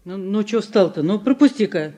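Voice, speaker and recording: A woman's voice: medium pitch, lively intonation, normal speed, mellow, lightly acted. A woman acting in a Soviet radio drama as a fussing, scolding middle-aged village mother, folksy in manner. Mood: bustling. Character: bustling scolding village mother and camp cook.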